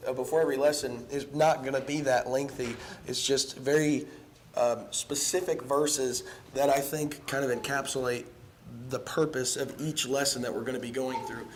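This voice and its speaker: Hoarse young man preaching a sermon. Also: medium pitch, steady tone, a little hiss or hum. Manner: earnest, halting, many ums and restarts. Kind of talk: evening sermon with casual asides. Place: church sanctuary.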